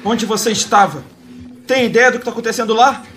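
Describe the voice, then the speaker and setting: A man's voice: very high-pitched, brisk. Man acting a rattled getaway driver, noisy ambience. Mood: agitated. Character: rattled, talkative uncle driving the getaway car. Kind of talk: fan-dub game acting in Portuguese.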